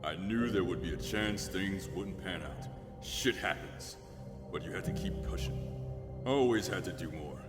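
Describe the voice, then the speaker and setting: A man acts out a weary, remorseful father in an audio drama. His low, grave voice carries a roomy, reverberant echo, with very loud music swelling beneath the reproach. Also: moderate pace, lightly acted. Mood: accusing. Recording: echoey hall reverb under loud music.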